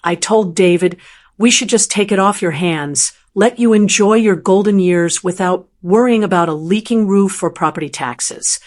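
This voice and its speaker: A woman voicing a scheming daughter-in-law with a bright, polished, hollow tone and sugary fake concern. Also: medium pitch, moderate pace, lightly acted.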